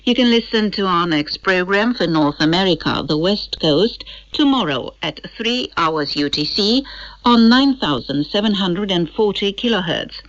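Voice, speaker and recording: A woman's voice: medium pitch, animated, measured pace. A woman reading scripted announcements for an international radio broadcast, heard over shortwave. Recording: muffled shortwave capture, little echo.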